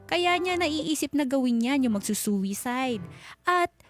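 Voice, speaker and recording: Filipino woman, high voice, radio advice host, emphatic, conversational, English mixed in, music underneath. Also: animated, unhurried. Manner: emphatic, code-switches into English. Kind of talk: conversational on-air advice to a caller. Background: background music.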